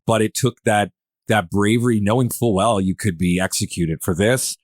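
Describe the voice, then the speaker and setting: Man, podcast host, low pitch, close dry sound, casual, rambling thinker-aloud. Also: normal speed, no room sound. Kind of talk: casual discussion of history.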